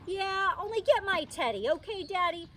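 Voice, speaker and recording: Woman playing little girl, storybook heroine, high voice, excitable, insistent, very animated, slightly distant mic. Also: moderate pace. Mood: pleading. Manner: insistent, pleading, childish grammar. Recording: distant microphone, faint background noise.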